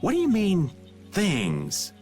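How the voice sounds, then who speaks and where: A man's voice: sits high, wildly swooping pitch, slow, a little mellow, faint music behind, heavily acted. A man playing a teasing, easygoing middle-aged adventurer in a video game, with a folksy, playful drawl. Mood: uneasy, surprised. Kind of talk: video game dub acting.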